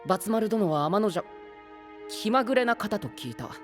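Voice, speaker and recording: An actor playing a courteous, formal young knight who explains things in an anime-style audio drama. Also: male voice, high voice, big pitch swings, normal speed, long reverb tail, soft background music. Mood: thoughtful. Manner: courteous, peppered with English exclamations.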